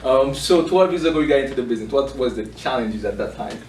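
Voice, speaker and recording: A man hosting an informal interview, speaking in a halting, unscripted way and repeating himself. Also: medium pitch, expressive, a little mellow. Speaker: interviewer, event host. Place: indoor room with small audience. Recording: echoey room, distant mic, faint noise.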